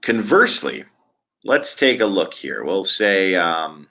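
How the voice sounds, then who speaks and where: A man presenting a software webinar walkthrough in a conversational, halting way with frequent pauses. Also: low-pitched, sing-song. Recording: dull, lo-fi webinar audio.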